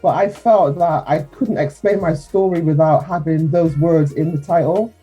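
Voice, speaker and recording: A woman from England speaks as a radio guest in an earnest, reflective manner. Her voice is lowish, mellow and husky, with faint music in the background. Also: normal speed. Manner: warm, earnest, reflective storytelling. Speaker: author and guest. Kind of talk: radio interview.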